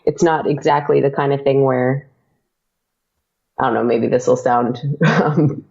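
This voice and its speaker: A woman being interviewed about her podcast, talking hesitantly with many pauses. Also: a bass voice, steady tone, gravelly, a little mellow, dull audio. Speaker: guest, audio drama podcaster. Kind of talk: casual interview chat.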